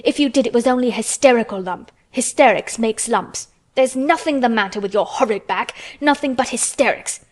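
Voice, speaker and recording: A woman voicing a fierce, hot-tempered young girl in a storybook, contradicting and commanding in a shouting manner. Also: a little high, moderate pace, natural voice. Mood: fierce, scornful.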